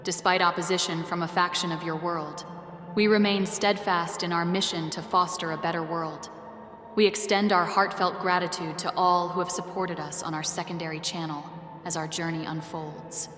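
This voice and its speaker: A woman playing a solemn, benevolent otherworldly guardian, delivering scripted proclamations in a formal, grandiose, measured manner. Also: on the low side, steady tone, a bit bright, natural voice. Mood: solemn, steadfast. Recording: echoey, long reverb, faint music behind.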